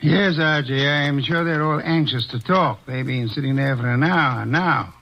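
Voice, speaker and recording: Brief: a shrewd detective with a fairly high voice, calm and deliberate, questioning suspects. Muffled old radio broadcast sound. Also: male voice. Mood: composed. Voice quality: measured and deliberate. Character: shrewd portly male detective, calm and commanding. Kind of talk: 1940s radio acting.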